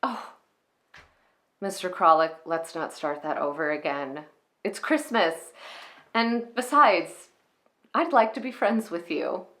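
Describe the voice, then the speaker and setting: A woman's voice: lowish voice, slow, long pauses, sharp tone. A woman playing an earnest, flustered young shopgirl in a romantic comedy film monologue, hesitant, confiding and wistful. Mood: brightly conciliatory.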